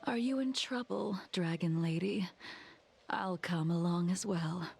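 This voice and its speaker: A woman voicing a cold, world-weary swordswoman in a video game, speaking in a formal, measured, calm way. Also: on the low side, gravelly, husky, heavily acted. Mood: calm, aloof. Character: cold, composed, world-weary swordswoman haunted by inner demons. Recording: loud game music behind. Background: game music.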